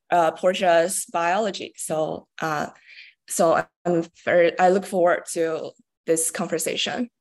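A woman who is a corporate lawyer, introducing herself in a hesitant way with "uh"s and restarts. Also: on the low side. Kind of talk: brief self-introduction.

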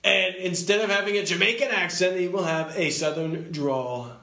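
A man's voice: sits high, normal speed, sharp tone. A man playing a boastful older president in improvised comedy banter, pompous, rambling and repeating himself, in an echoey room. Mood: self-satisfied. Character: boastful older male president, parody of a real politician.

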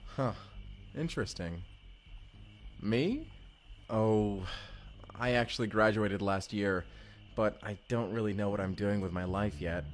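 A man's voice: on the low side, measured pace, long pauses, slight background noise, natural voice. A man playing an awkward, friendly, evasive young man in an immersive audio drama, chatty and hesitant, often joking. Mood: hesitant, sincere. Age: young adult. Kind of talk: immersive first-person audio roleplay. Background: music.

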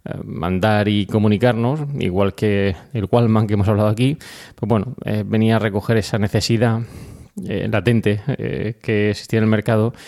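Podcast host, male, Spain accent. Lowish, soft-edged mellow voice, conversational and hesitant, explaining informally. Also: steady tone, moderate pace, vocal fry. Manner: conversational, hesitant with frequent filler words. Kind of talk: casual explanatory podcast monologue.

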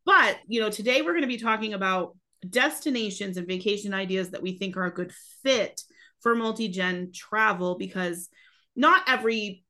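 Chatty woman with a cold-roughened voice. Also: mid-range voice, moderate pace, sharp tone. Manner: chatty, loose, with many restarts. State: slightly raspy from a cold. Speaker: podcast co-host. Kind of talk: casual chat.

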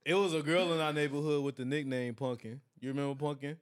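A man's voice: fairly high pitch, steady tone, fast talker. Joking, profane man. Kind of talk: casual chat.

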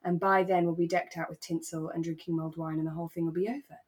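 A woman co-hosting a relaxed podcast chat about a radio drama, telling things in an animated, dramatic way. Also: lowish voice, fast, breathy edge. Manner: animated, dramatic storytelling with acted-out asides. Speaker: podcast co-host; actor.

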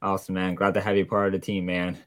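A man's voice: low-pitched, monotone, fast. A man hosting a casual community Q&A, upbeat and chummy, calling guests buddy and man. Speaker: host.

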